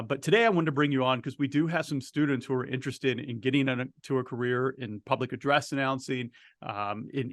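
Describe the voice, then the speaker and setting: A man's voice: mid-range voice, fairly bright tone, no echo. American man hosting, dry close sound. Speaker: podcast host, interviewer. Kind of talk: conversational podcast hosting.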